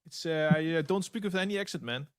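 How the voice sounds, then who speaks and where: A man, a guest on a livestream from his home studio, talking casually and haltingly with many fillers. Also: fairly high pitch, clear, resonant tone, no room sound. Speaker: guest producer. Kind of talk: casual chat.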